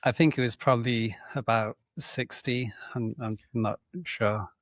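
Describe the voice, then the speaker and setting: A man's voice: medium pitch, steady tone, measured pace, no room sound. A man co-hosting a podcast, chatting casually in a hesitant, hedging way and laughing often. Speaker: podcast co-host. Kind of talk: casual banter.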